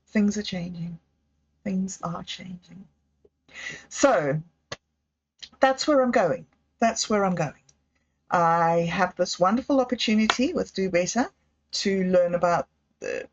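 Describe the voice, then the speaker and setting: A woman talks alone on a livestream in a gushing, meandering way, restarting her sentences and trailing off. Her voice sits at an ordinary middle pitch. The sound is a little dull and lo-fi. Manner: effusive, rambling, self-interrupting. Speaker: livestream host. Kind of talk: rambling solo livestream chat.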